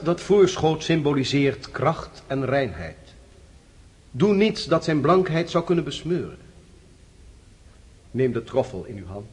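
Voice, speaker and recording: An actor in a radio drama playing a solemn, commanding master of a secret order, ceremonial and measured. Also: male voice, a little high.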